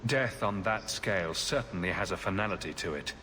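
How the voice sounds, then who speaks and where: An actor playing a composed, wry adventurer hero in a video game, speaking in a measured way. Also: male voice, deep voice, sharp tone, roomy sound, slight background noise. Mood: calm, measured.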